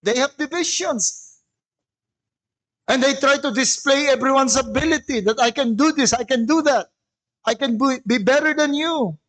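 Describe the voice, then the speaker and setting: A man with a Filipino accent preaching a sermon as a pastor, in deliberate, emphatic phrases with rhetorical questions. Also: extremely high pitch, a bit bright, poor audio. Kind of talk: sermon mixing English and Tagalog.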